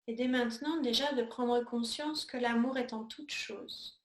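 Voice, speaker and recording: A woman teaching yoga, guiding a meditation in a calm, soothing, unhurried way with pauses between short phrases. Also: a little high. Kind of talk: guided yoga and meditation instruction. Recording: echoey room sound.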